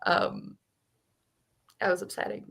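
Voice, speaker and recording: A young woman co-hosting a casual book club discussion, chatting animatedly and filling her talk with "like". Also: slow pace. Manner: chatty, heavy use of "like", animated.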